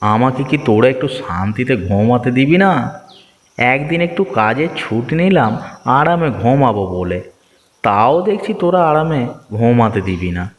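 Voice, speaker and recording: A man's voice: medium pitch, expressive, normal speed, long reverb tail, natural voice. A man voicing a lazy, grumpy, sleepy village husband in a children's cartoon, complaining in an exaggerated way. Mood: grumbling, startled. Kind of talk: children's moral story cartoon dubbing.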